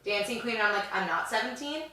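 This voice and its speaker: A woman's voice: on the high side, fast talker, sharp tone, very echoey, recorded from a distance. A woman in her twenties co-hosting a podcast, chatting in a rambling way with lots of fillers. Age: 20s. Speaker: podcast co-host. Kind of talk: casual chat.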